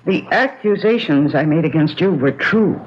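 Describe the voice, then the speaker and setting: A woman's voice: deep voice, expressive, normal speed. A woman playing a defiant, self-controlled woman cornered by a killer in a radio drama, indignant and guarded. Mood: defiant disbelief. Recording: muffled, noisy old radio recording.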